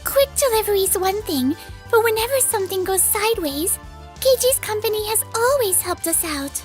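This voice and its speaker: The earnest young assistant in an office romcom cartoon, piping up at a very high pitch as a woman acts her. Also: expressive, moderate pace, soft background music. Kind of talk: scripted manga dub acting.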